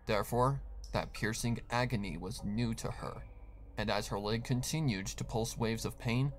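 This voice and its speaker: A man narrating a scripted horror story in a dramatic reading style. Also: medium pitch.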